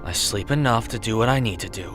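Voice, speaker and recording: A man's voice: lowish voice, fast talker, natural voice. A man playing a gloomy, guarded god of death, terse and restrained, in an audio drama. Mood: curt. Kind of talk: audio drama character acting. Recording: voice over background music.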